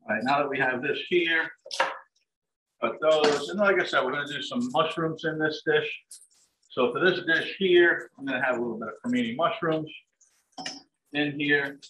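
A man's voice: a little high, moderate pace, long pauses. Man giving a cooking demo in an echoey kitchen. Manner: relaxed, step-by-step instructions with frequent "um" and "like I said". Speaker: chef and dining services director. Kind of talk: live cooking demonstration. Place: large home kitchen, indoors. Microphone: fixed camera across the counter. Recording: echoey kitchen, voice from a distance, faint hiss. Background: turkey sizzling in a pan.

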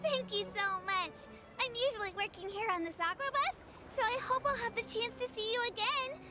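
A woman's voice: very high-pitched, brisk, music in the background. A woman voicing a cheerful young female creature who works as a bus guide, speaking eagerly and politely.

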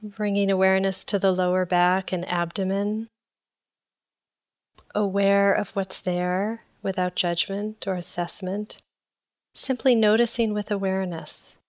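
A woman leading a guided body scan meditation in a calm, measured, instructional way. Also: mid-range voice.

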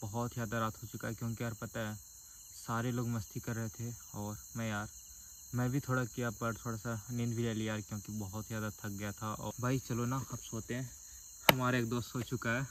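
A man's voice: medium pitch, little pitch movement, a little fast, a bit bright, slight background noise. A young man vlogging from a forest campsite, talking casually to viewers and joking with his friends, recorded on a handheld camera. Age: young adult. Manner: chatty, addresses viewers as guys, jokes with friends. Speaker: camping vlogger. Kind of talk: casual vlog chat. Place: outdoors in a forest campsite and inside a tent.

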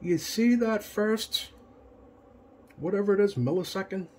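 A man's voice: high-pitched, unhurried, a little mellow. A man giving a casual, unscripted repair demonstration in a plain-spoken, hesitant way, like a technician at his bench. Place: indoor home electronics workbench. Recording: noticeable background noise, workbench room.

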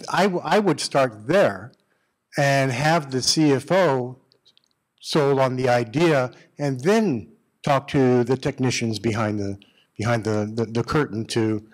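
A middle-aged man in the audience at a panel, speaking on a handheld mic in a conference room, giving candid advice with many restarts and repeated words. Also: mid-range voice. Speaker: audience member, data center executive.

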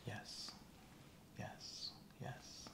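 A man playing the patient in an ASMR exam roleplay, giving quiet, terse, even answers. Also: drawn-out words, roomy sound, a little hiss or hum. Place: small indoor room set up as an exam room.

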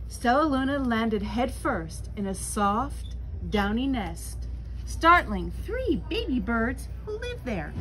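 Older female storyteller, 60s, high voice, unhurried dramatic picture-book delivery, noisy room. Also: sing-song, fairly bright tone. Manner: slow, dramatic, deliberate phrasing. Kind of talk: expressive read-aloud for children with asides. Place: small bookstore, indoors. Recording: clear background room noise.

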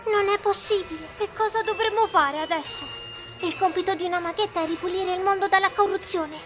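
A woman's voice: very high-pitched, fairly even intonation, brisk, music in the background. A woman voicing an eager, earnest young magical girl in an anime fandub, with a theatrical, exclamatory delivery. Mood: earnest, dramatic. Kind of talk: amateur Italian anime fandub acting.

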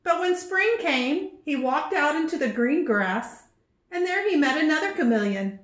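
A middle-aged woman with a high, very animated voice reads a storybook to small children. She phrases slowly and expressively, like a preschool teacher. The sound is roomy, as in a small office. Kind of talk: read-aloud picture book storytelling for young children.

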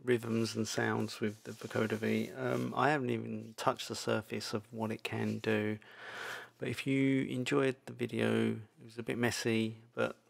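Gravelly-voiced man giving a casual tutorial. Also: mid-range voice, level tone, a little slow. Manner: hesitant, informal, loosely improvised. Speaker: tutorial presenter. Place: indoors, screen-recording voice-over. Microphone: microphone into audio software.

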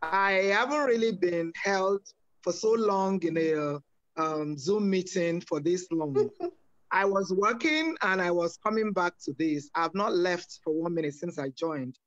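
A woman's voice: on the low side, animated, measured pace. A woman on a video call thanking the hosts in an earnest, appreciative way. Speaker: attendee. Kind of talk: casual online meeting remarks. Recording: dull, dry video call audio.

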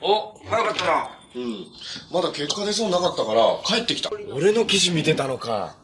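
Young male TV hero: boyish, kind-hearted, earnest, very animated delivery, noise behind the voice. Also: fairly high pitch, normal speed. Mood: cheerful, casual. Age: young adult. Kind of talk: live-action TV superhero drama acting.

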